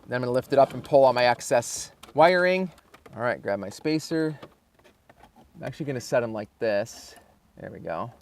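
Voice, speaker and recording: A man's voice: medium pitch, slight background noise. A man giving a casual how-to, thinking aloud informally as he works with his hands. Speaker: host of a car installation how-to channel. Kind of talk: casual hands-on tutorial, talking through the work.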